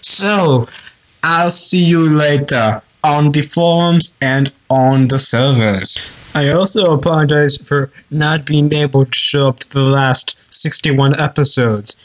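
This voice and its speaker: A man's voice: a little high, slow, poor audio. A man leaving a rambling listener message for a show.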